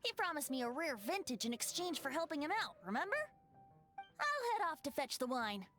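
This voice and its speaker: A voice actor playing a carefree young bard who is a wind spirit, light and playful, sometimes telling tales in rhymed verse. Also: male voice, very high voice, sing-song, a little fast, heavily acted. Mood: breezy. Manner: light and playful, breaks into sing-song rhyming verse. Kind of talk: game dialogue and rhymed storytelling. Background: soft game music.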